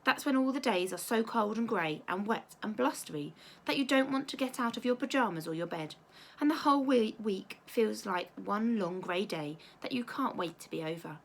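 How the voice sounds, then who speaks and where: A woman's voice: medium pitch, animated, normal speed, few pauses, poor audio. Woman reading a children's story aloud. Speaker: teacher reading aloud to pupils.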